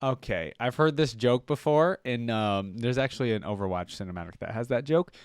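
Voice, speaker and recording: A man's voice: mid-range voice, brisk, smooth, no echo, studio quality, faint music behind. A man giving casual reaction commentary as host of a gaming video.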